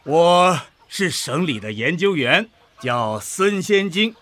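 Bright-voiced, genial older researcher. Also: male voice, a little high, sing-song, exaggerated voice. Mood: genial, confident. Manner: chummy, chuckling, deliberate. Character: genial, self-assured older male agricultural researcher. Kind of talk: radio drama acting.

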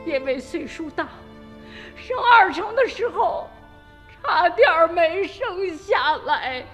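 A woman's voice: extremely high pitch, animated, slow pace, big-room sound, dull audio, faint music behind, full character voice. An actress playing a stubborn old village mother in a radio drama, emphatic and emotional, pleading for her son. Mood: tearful pleading. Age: older. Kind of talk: Chinese radio drama acting.

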